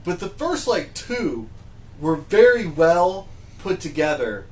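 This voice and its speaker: A man's voice: high-pitched, big pitch swings, slow. A man in a casual panel discussion, talking informally and arguing through examples. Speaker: podcast co-host. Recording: faint background noise.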